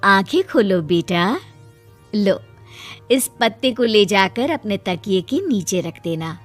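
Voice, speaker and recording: A little magical tree fairy, played by a woman, kindly and motherly. She reassures gently in a medium pitch, storybook cartoon style, over music. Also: expressive, slow. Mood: reassuring. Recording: music behind the voice.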